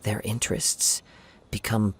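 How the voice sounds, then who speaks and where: An actor playing a thoughtful young wandering samurai in a video game, a man speaking in a measured, formal and calm manner. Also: on the low side, breathy edge. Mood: grave, explanatory. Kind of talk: scripted video game character dialogue. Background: game music.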